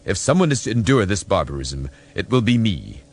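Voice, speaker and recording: A man voicing a proud, defiant alien military commander in an audiobook, with grating, forceful, formal speech. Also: on the low side, very animated, brisk, pitched above the performer's own voice. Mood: defiant anger. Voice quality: grating, gravelly. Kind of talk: audiobook character voice.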